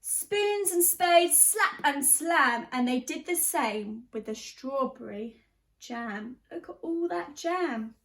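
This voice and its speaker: A woman with an expressive, chatty and encouraging way of talking, leading and reading aloud for young children as their teacher. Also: high-pitched, slow. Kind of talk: animated storybook read-aloud and guided exercise.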